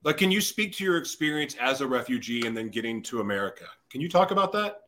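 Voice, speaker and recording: A man's voice: mid-range voice, animated, quick pace, a bit bright, slight background noise. A man hosting an interview, enthusiastic and effusive with praise. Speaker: interview host. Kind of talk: casual interview chat.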